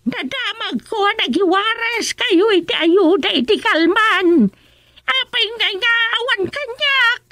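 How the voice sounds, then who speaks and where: A woman playing a cranky, nagging old village woman in a rural comedy radio drama, scolding in a shrill voice. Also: lively intonation, sharp tone, no room sound, heavily acted. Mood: accusing. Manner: scolding, fussy, fast.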